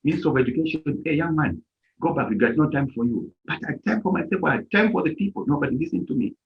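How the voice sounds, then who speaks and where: A man's voice: fairly high pitch, animated, fast, vocal fry. A middle-aged man from Zambia who leads an organization, giving an impassioned speech at a live-streamed event and stressing his key points hard. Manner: emphatic, rising to near-shouts on key points. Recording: dull lo-fi stream audio.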